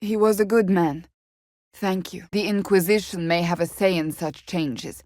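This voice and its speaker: An actress voices a stern, devout warrior woman for a fantasy game. Her voice sits on the low side, recorded dry and close. She speaks formally and earnestly, with measured, forthright conviction. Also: steady tone, darkish tone, no room sound, lightly acted. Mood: solemn, measured. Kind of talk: fantasy video game voice acting.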